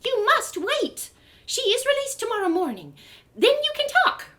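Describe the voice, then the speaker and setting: A storybook elf, prim and cautious, speaks extremely high. The phrasing is stilted and halting, as if the language were learned, and the pitch leaps about wildly. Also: female voice, wildly swooping pitch, a bit bright, exaggerated voice. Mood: insistent, scolding.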